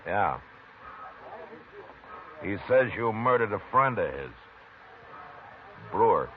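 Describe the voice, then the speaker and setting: An actor playing a calm, commanding frontier marshal in a 1950s radio drama. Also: male voice, on the low side. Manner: calm, firm, unhurried authority. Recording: tinny hissy old radio broadcast.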